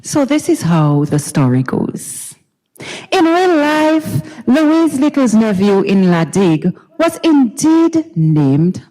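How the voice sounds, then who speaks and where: Woman storyteller, very slow deliberate pace, lively swooping pitch, theatrical pauses, dramatized literary reading. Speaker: dramatic reader. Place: university recital hall. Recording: recital hall with long reverb.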